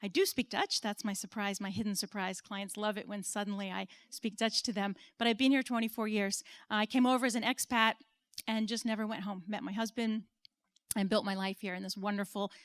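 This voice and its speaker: A woman's voice: medium pitch, fairly even intonation, a little fast, dry recording. An American woman in her forties giving an earnest, personal conference talk, now and then laughing at herself. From United States. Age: 40s. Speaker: conference speaker. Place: stage at an event.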